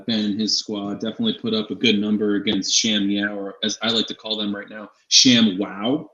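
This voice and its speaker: A man's voice: on the low side, a bit bright. A man co-hosting a fantasy sports show in casual, informal chat, often repeating phrases for emphasis. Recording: slightly dull, echoey room sound.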